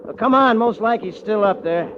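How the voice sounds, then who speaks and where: A man's voice: high voice, normal speed, heavy background noise, lightly acted. Man acting arrogant drawling Western rancher, old radio. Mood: excited, alarmed. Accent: American. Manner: folksy drawl with rough cowboy grammar. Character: arrogant, bullying, leering Western rancher man, mocking and short-tempered. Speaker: actor and first-person narrator. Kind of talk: old-time radio drama acting, rustic Western dialect. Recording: dull vintage radio recording with music behind.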